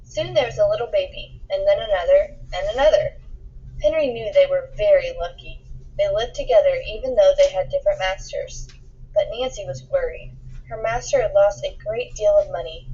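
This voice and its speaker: Mellow, husky woman reading a storybook aloud. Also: medium pitch, moderate pace, lo-fi sound. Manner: acts out dialogue with emphasis. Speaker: storybook reader. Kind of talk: expressive read-aloud of a children's picture book.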